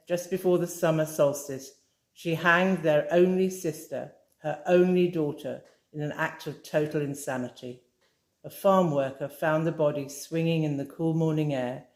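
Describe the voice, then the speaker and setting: An author reading her own crime novel aloud in a measured, dramatic storytelling manner. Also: low voice, darkish tone. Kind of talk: fireside audiobook reading. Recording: roomy echoey room.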